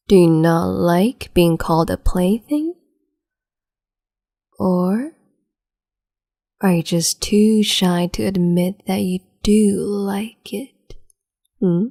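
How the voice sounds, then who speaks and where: Woman playing a whispering cruel empress. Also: mid-range voice. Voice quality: breathy whisper. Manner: soft whispering, sweetly menacing and condescending. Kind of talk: ASMR roleplay.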